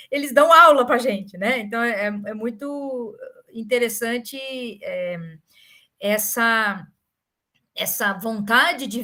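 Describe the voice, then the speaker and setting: A woman with a Brazilian accent giving an informal academic talk, telling stories in an animated way and often tagging phrases with short questions. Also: a little high. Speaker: academic speaker answering questions.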